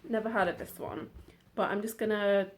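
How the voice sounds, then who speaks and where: A woman's voice: medium pitch, level tone, normal speed, a little hiss or hum. A woman from the United Kingdom, a book vlogger in casual chat, talking through her finds in a chatty, rambling way full of side notes and fillers.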